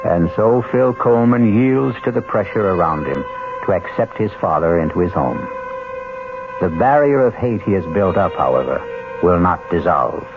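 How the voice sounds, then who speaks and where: Gravelly radio mystery narrator. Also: male voice, sits low, animated, moderate pace, phone-quality sound. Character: grave, suspenseful storyteller host of a mystery play. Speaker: host and narrator. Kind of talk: 1970s radio scripted narration. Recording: thin old radio broadcast with music.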